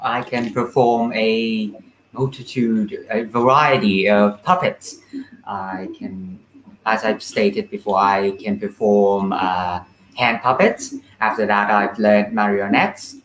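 A young man giving careful, halting interview answers in formal wording from a room over a video call. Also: lowish voice, steady tone, a bit bright, roomy sound, a little hiss or hum, low-quality recording. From Vietnam. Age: young adult. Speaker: puppeteer, guest. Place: small indoor puppet room. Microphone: webcam at a little distance.

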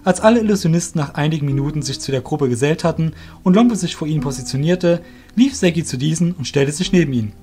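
A man's voice: on the high side, a little mellow. A man narrating a scripted fantasy story as the narrator of an audio drama. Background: music.

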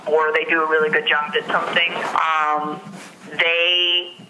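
A woman's voice: sits low, flat delivery, unhurried, background noise. An American woman giving a witness account in a phone interview, hesitant and often saying "like", on a thin telephone recording. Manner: hesitant, frequent use of "like". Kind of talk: recounting events in an interview. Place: phone call.

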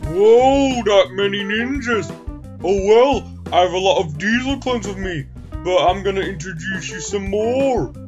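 Lady doing a mouthy cartoon bad girl, brash, mid voice, kinda slow. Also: mid-range voice, expressive, darkish tone, lo-fi sound, faint music behind, lightly acted. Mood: scornful, brash. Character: brash female villain with an army of clones.

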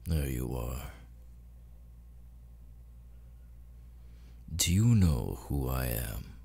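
Demon lord, ASMR roleplay, slow, gravelly fry, condescending, menacing, superior. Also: male voice, animated, mellow, heavily acted. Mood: imperious. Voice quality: very deep, gravelly, husky. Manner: slow, deliberate, condescending, with long pauses.

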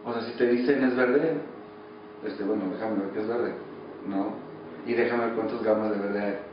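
A Mexican man in his thirties answering interview questions casually and haltingly, searching for words. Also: fairly low pitch, fairly even intonation. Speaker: actor being interviewed. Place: small office indoors. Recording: echoey, muffled, noisy, distant microphone.

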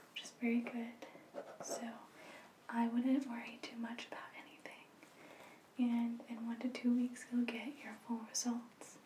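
A woman in her twenties performs a whispered ASMR roleplay as a gentle medical examiner. Her delivery is very slow and soothing, with a mellow, soft tone. The small room adds a noticeable roominess to the sound. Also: on the high side, roomy sound, recorded from a distance, a little hiss or hum. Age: 20s. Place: small plain indoor room.

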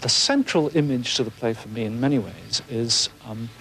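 A man's voice: mid-range voice, moderate pace, gravelly, a bit bright. A middle-aged man, a theatre director, reflecting in an interview with literary phrasing, searching for words. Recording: faint background noise.

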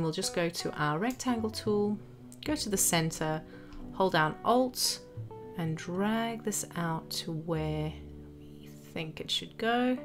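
A woman narrating a step-by-step software tutorial as an instructor, explaining each action as she goes. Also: fairly low pitch. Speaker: graphic design tutorial instructor. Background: background music.

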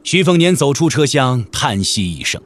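Highly dynamic male audio drama narrator. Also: sing-song, normal speed, a little hiss or hum.

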